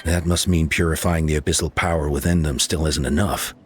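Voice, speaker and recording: Solemn old-school chief type in a game, deep low voice, formal and grave. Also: male voice, steady tone, brisk, a little hiss or hum. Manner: formal, explanatory, somber. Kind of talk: video game voice acting.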